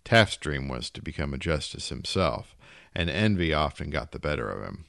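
A man's voice: very deep voice, expressive, normal speed, vocal fry, a bit bright, no room sound, studio quality. A man reading a literary short story aloud as scripted narration.

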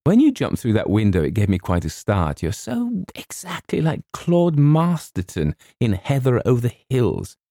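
A man's voice: mid-range voice, huge pitch swings, normal speed, few pauses, warm tone, no echo, studio quality, voice pushed up. A man voicing a sweet, fragile, romantic young woman in a storybook, with a breathless, gushing, hesitant manner.

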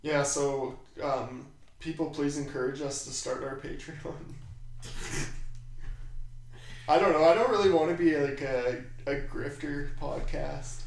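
A raspy-voiced man chatting casually as a podcast host, in an irreverent, profane way. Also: on the high side, unhurried. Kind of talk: casual chat. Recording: very echoey room.

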